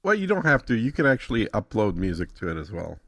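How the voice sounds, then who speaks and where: Low-voiced man explaining casually, dry sound. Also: very animated, fast talker, a bit bright, no echo. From Texas, United States. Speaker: guest.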